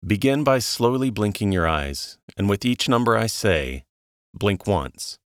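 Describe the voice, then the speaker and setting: A man narrating a guided relaxation in a calm, soothing, measured way, leaving long pauses between instructions. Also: fairly low pitch, dry recording, clean studio sound.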